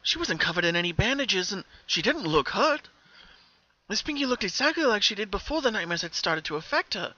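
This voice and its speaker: Bright, expressive story narrator, slightly muffled recording. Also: mid-range voice, a little fast.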